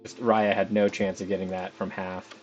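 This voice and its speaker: Chatty low-voiced man streaming a game. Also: flat delivery, moderate pace. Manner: chatty, rambling, talks to players on screen. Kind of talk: casual live game commentary. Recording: slightly dull stream audio with background music.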